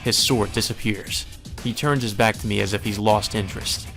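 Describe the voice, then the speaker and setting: A man's voice: on the low side, fairly even intonation, moderate pace, gravelly, a bit bright. A man reading visual novel narration aloud as a let's play host. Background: faint music.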